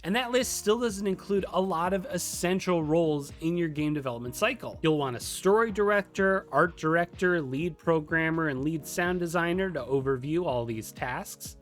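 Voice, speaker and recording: A man's voice: on the high side, animated, moderate pace, hardly any pauses. A man hosting a video tutorial, reading scripted instruction in a methodical, explanatory way. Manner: methodical explaining, lists items clearly. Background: background music.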